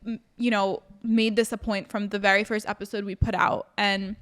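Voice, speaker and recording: A woman's voice: mid-range voice, little pitch movement, normal speed, a bit bright. A woman co-hosting a podcast in casual chat, talking earnestly in long flowing sentences. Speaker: podcast co-host.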